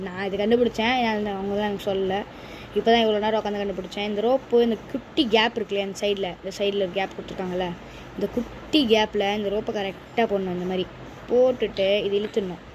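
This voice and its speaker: Woman, fairly high voice, chatty step-by-step vlog explaining, casual, faint noise in homemade recording. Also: darkish tone, poor audio. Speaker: vlogger presenting a product unboxing. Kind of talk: casual product demonstration to viewers.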